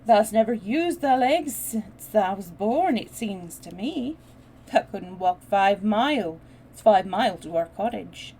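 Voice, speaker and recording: A woman's voice: mid-range voice, lively intonation, measured pace, slight background noise. A woman voicing a cheerful young Yorkshire housemaid in a storybook reading, with a put-on broad Yorkshire accent. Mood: matter-of-fact. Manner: broad dialect, chatty and bright.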